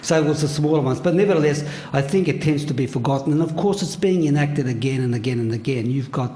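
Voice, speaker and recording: A man's voice: a little high, fast, warm tone, a little hiss or hum. An older man from Australia, a panel guest at a public talk, speaking casually with many fillers and restarts. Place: public venue.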